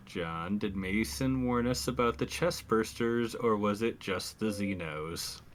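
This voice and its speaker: A man's voice: on the low side, a little slow, a little hiss or hum. A man chatting casually as a tabletop game player, describing what his character does.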